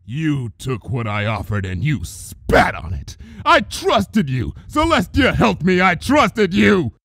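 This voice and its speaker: Man voicing a wrathful regal pony princess, raised pitch. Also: wildly swooping pitch, takes it slowly, creaky, fairly bright tone, husky, no room sound, studio quality, full character voice. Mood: bitter grief. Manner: grand, accusing, dramatic delivery. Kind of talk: dramatic fan fiction reading.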